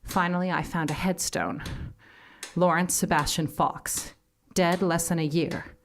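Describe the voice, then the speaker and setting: A woman playing an intense, frightened investigator, narrating a gothic stage drama in a theatrical, deliberate manner. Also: fairly low pitch, steady tone. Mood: brooding, grave. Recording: clearly noisy live theatre recording.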